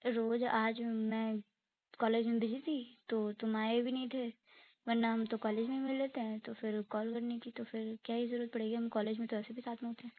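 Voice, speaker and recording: A woman's voice: fairly high pitch, flat delivery, moderate pace, no room sound, close to an everyday voice. Woman playing protesting young girlfriend, tinny phone call. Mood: defensive. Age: young adult. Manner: questioning, protesting, explaining herself. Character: defensive, reasoning young college girlfriend. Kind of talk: naturalistic relationship phone-call roleplay.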